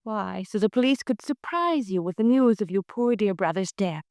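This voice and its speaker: A woman's voice: medium pitch, animated, normal speed, a little mellow, no room sound, studio quality, lightly acted. An actress playing a petite blonde woman who speaks in a sweetly solicitous, coaxing way, in a 1950s radio drama. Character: petite blonde woman, cool and smooth-talking.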